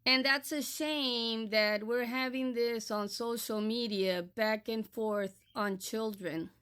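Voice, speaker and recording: Slow, firm older woman from Texas. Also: on the high side, steady tone, a bit bright, clean tone. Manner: firm, admonishing, deliberate. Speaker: social media creator. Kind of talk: direct-to-camera monologue.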